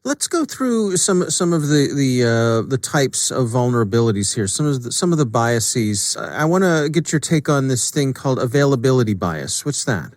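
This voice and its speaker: Dry-recorded man hosting an interview, halting delivery. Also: mid-range voice, big pitch swings, dry recording. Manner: halting, repeats words as he frames questions. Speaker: podcast host and interviewer. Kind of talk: casual conversational interviewing.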